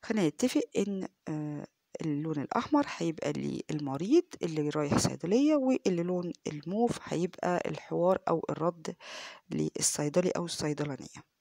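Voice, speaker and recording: A woman with an Egyptian Arabic accent teaching French, explaining quickly and repeating phrases slowly and clearly. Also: deep voice, lively intonation, dark tone, no room sound. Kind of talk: language lesson, reading dialogues aloud.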